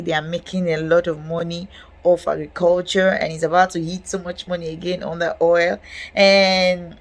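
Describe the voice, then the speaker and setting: A young woman with a Nigerian accent talks straight to camera as the host of a property channel. Her voice sits a little low. She keeps a loose, conversational flow, peppered with "okay", "guess what" and quick laughs. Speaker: real estate channel host. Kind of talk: casual talk to camera.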